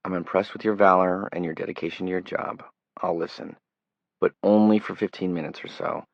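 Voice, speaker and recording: A man's voice: sits low, fairly even intonation, brisk, creaky, no room sound, muffled recording. Man doing a polite, wary young man. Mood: firm. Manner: polite but firm. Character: calm, wary young man who avoids visitors.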